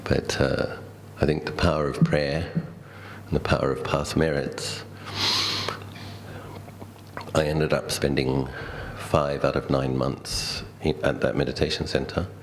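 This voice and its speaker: A middle-aged Australian man, a Buddhist monk, giving a conversational, reflective dhamma talk built on personal stories, with thoughtful pauses. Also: very deep voice, flat delivery, a little hiss or hum, poor audio.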